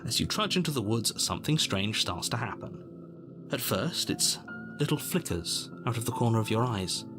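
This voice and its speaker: A man's voice: mid-range voice, big pitch swings, moderate pace, natural voice. An actor playing an exasperated, sardonic narrator in a video game, wry, theatrical and scolding in his delivery. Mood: ominous narrating. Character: exasperated, sardonic male narrator urging the hero onward. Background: music.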